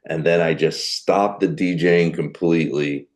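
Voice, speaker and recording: A middle-aged man with a deep voice, a comedian telling animated stories in a podcast interview. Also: moderate pace. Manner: animated storyteller who acts out quoted people. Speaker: guest comedian.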